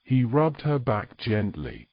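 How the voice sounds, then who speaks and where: A man's voice: medium pitch, huge pitch swings, slow pace, no room sound, dull audio. A man reading scripted fantasy romance narration as a storyteller.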